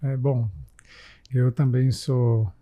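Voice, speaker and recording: A man in his forties from the São Paulo countryside, a physician and health manager, speaking reflectively in an interview with frequent pauses and fillers. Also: mid-range voice, level tone, dark tone. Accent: São Paulo countryside Brazilian Portuguese. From interior of São Paulo state, Brazil. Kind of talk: casual interview talk.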